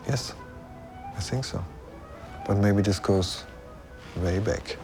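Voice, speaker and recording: Guy chatting in an interview, low voice, music behind. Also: slow, warm tone. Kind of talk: conversational interview.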